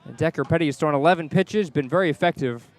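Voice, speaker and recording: Male sports announcer, slightly high-pitched voice, live baseball radio commentary, outdoor stadium. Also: fast talker.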